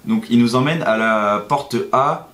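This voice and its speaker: A man's voice: medium pitch, unhurried, fairly bright tone. A young man from France, a native French speaker, casually recounting a day step by step as a vlog guest. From Nantes, France. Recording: echoey, roomy sound.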